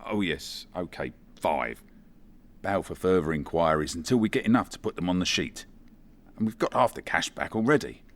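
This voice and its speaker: Man acting a deep-voiced police detective. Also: animated, normal speed. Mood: matter-of-fact. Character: male police detective inspector, matter-of-fact, faintly apologetic. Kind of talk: comedy radio play acting.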